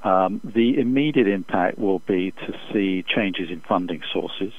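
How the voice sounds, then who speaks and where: A man speaking as an expert economist in an interview over the phone, giving measured, formal, halting analytical answers. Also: low voice, no echo. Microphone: telephone. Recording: dull, muffled phone interview line.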